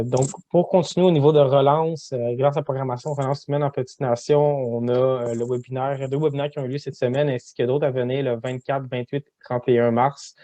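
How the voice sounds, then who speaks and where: A male webinar host with a Quebec French accent speaks in a soft-edged, mellow voice. His delivery is halting as he makes semi-prepared announcements, and the sound is very dry and close. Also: mid-range voice, no room sound.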